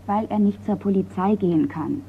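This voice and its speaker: An actress in a radio crime drama playing a colonel's polite, forthright niece, courteous and direct. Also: female voice, mid-range voice, normal speed, vocal fry, lightly acted. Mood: frank, warning. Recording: thin phone-like, noisy radio recording.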